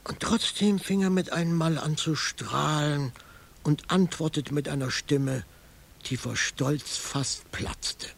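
A man's voice: fairly high pitch, slow pace, creaky, a little hiss or hum. An actor playing a wry detective narrating his case in a hardboiled radio drama, dry and ironic. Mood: wry amused narration.

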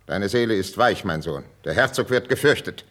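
A stern old king, speaks in a fairly low voice. He is austere and distrustful, with measured, commanding diction in the manner of 1950s radio drama. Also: animated, fairly bright tone. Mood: stern, dismissive. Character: stern, cold, ageing king and distrustful father.

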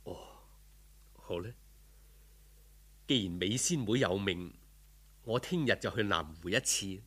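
Young male scholar, acted by a man, Cantonese, lovesick, humble, sighing, low husky voice, folk-tale audiobook. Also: expressive, slow pace, fairly bright tone, heavily acted. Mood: pleading. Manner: sighing, courteous, plaintive.

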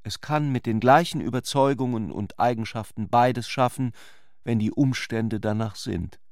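A man's voice: mid-range voice, moderate pace, dry recording, studio quality. A man narrating a literary radio drama from a script.

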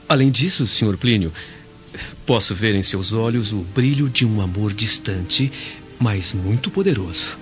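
An actor playing a calm, quietly witty slave who speaks in measured radio-drama delivery. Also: male voice, on the low side. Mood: calm, knowing. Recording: dull lo-fi radio drama, music underneath.

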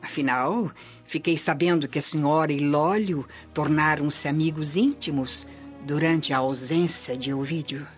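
A woman's voice: deep voice, a little slow. Haughty, cunning Roman noblewoman. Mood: haughty, insinuating. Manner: polished, condescending, pointed. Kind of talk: radio drama acting. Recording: dull lo-fi audio, music behind.

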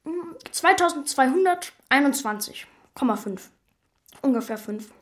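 Young child prodigy, high-pitched, earnest, precise, audio drama. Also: female voice, expressive, measured pace. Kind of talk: German audio drama acting.

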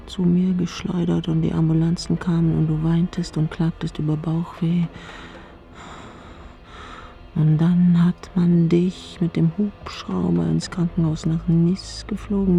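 A woman's voice: on the low side, vocal fry, mellow. An older woman playing a frail, eccentric elderly mother in a radio play, nostalgic and imperious. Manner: slurred, drowsy, halting recollection.

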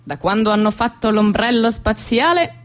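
A woman's voice: a little high, animated, normal speed, close to an everyday voice. A woman playing a ponderous mourner in a comic sketch, solemnly reciting clichés and repeating words insistently. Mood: insistent griping.

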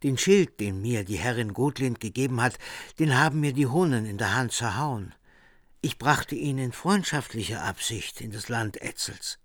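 Man acting battle-worn loyal warrior, medium pitch, solemn, proud, radio play, dry studio sound. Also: expressive, moderate pace, no echo, close to an everyday voice. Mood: solemn, grateful. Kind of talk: German radio drama acting.

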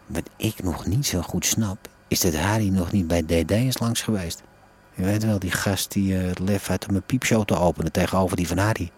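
A man playing a gossipy underworld insider musing aloud in a radio drama, with a husky voice. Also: deep voice, a little fast, darkish tone. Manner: colloquial, ruminating storyteller.

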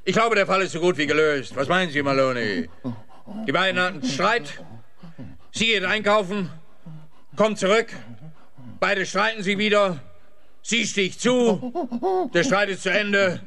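An actor playing a grumpy, sarcastic older police officer in a radio crime comedy, blustering and smug. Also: male voice, sits high, lively intonation, a little slow, long pauses, sharp tone, full character voice.

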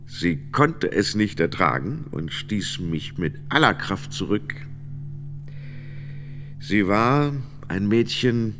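A low-voiced, grave medieval knight. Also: male voice, exaggerated voice. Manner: measured, deliberate, formal phrasing with pauses. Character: 56-year-old medieval French knight testifying gravely as witness. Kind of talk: radio drama testimony, dramatic narration. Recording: long reverberant hall, music underneath. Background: music.

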